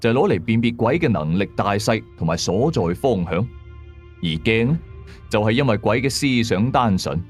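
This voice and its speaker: Male narrator. Low register, very lively intonation, measured horror storytelling with chatty asides. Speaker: audio drama narrator. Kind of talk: scripted first-person horror story narration. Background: background music.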